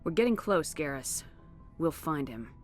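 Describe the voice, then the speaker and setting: An actress playing a steady, resolute human starship commander in a video game, speaking in a firm, measured way. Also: female voice, low-pitched, faint music behind. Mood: reassuring, firm.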